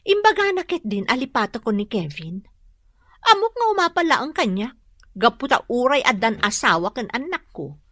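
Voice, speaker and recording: A woman's voice: a little high, very animated, moderate pace, bright, forceful tone, no echo, clean studio sound, exaggerated voice. A woman playing a brazen, jealous, scornful neighbour in an Ilocano radio drama, speaking with an Ilocano accent. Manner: scornful, confrontational.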